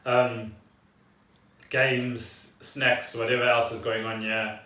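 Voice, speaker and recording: A man with a medium-pitched voice talks casually as a podcast co-host. His phrasing is halting and deliberate. The sound is roomy and echoey, a little away from the mic. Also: flat delivery, pushed and bright. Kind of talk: casual unscripted chat. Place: echoey room at home. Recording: roomy echo, distant from mic.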